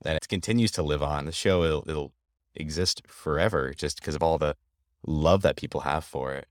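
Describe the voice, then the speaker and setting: A male host with an extremely deep voice speaks earnestly and stresses his points. He sounds close and dry. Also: moderate pace, no echo. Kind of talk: interview conversation.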